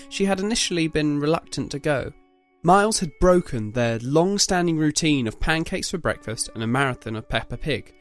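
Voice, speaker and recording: Male story narrator over soft music. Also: a little high. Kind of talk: scripted dramatic story narration.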